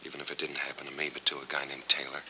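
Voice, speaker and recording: Deep, hard-edged haunted noir veteran. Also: male voice, completely flat delivery, very fast, pushed and bright, heavily acted. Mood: bitter, haunted. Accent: American. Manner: terse, clipped, hard-boiled. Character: haunted amnesiac war veteran, tense, bitter, weary man. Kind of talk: 1940s film noir acting. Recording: faintly noisy 1940s film soundtrack.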